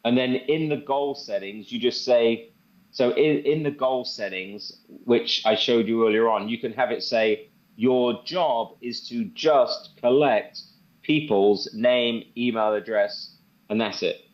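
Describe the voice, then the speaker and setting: A man giving an informal product demo and sales pitch, conversational and repeating phrases for emphasis. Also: a little slow. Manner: conversational, repeats phrases for emphasis, acts out sample phone calls. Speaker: presenter demonstrating software to a group. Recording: dull, band-limited sound.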